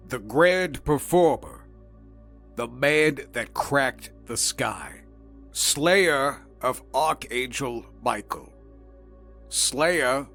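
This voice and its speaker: Guy acting a weary researcher reading official notes for an audio drama, really slow and deadpan, music under it. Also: mid-range voice. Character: dry Foundation researcher reading a dossier of titles, weary and exasperated. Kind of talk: scripted audio drama narration.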